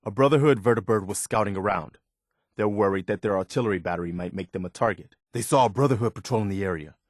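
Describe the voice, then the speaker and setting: Man playing an earnest, low-voiced militia man, dry recording. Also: quick pace, no room sound, close to an everyday voice. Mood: businesslike concern. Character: earnest, dutiful militia man, loyal and plain-spoken. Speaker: video game voice actor. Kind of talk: naturalistic video game dialogue.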